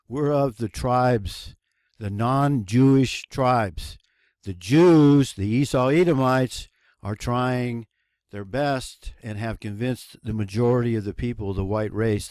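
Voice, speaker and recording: A man from the United States, hosting his own channel in a solo monologue, talking slowly and deliberately with a preachy emphasis and halting restarts. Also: mid-range voice, darkish tone, smooth, dry recording, studio quality. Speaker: channel host. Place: cold home running on a generator.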